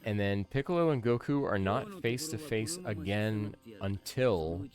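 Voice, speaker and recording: A man in his thirties giving casual, analytical video game commentary, thinking out loud. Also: lowish voice, measured pace. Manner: musing, analytical, thinking aloud. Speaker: podcast host and game streamer. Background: video game music.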